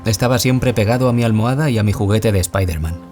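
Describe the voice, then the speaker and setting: Low male voice, young adult, Spain Spanish accent, anguished bullying survivor played by a male actor, confessional audio-drama storytelling, music behind. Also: quick pace, darkish tone, natural voice. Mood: anguished, confiding. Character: wounded young man, former bullying victim, anguished, confiding. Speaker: interviewee telling his story. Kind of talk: scripted audio-drama monologue in interview form.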